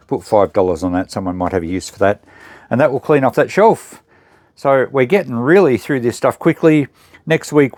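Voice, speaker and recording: A man's voice: mid-range voice, darkish tone, no echo, studio quality. A man hosting a casual salvage vlog, musing aloud as he talks viewers through items in an unscripted way. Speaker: host of a vintage salvage and recycling channel.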